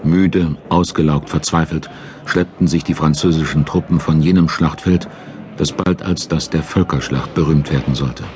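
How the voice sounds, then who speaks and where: Very deep-voiced male narrator, dramatic. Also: fairly even intonation, normal speed, a little mellow. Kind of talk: dramatic scripted audiobook narration. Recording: narration over battle sound effects.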